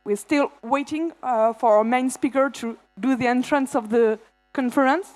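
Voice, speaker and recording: High-voiced woman moderating a panel. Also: darkish tone, faint music behind. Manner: halting, with fillers and restarts.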